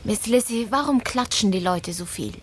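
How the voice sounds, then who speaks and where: A woman's voice: mid-range voice, animated, moderate pace. An actress playing a curious, polite young girl in a German radio play, frank and innocent. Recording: clear ambient background noise.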